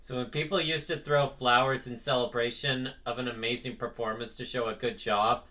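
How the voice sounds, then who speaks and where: A man's voice: mid-range voice, fairly even intonation, normal speed, pushed and bright. A man hosting a game reaction video, giving casual, emotional commentary. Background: game music.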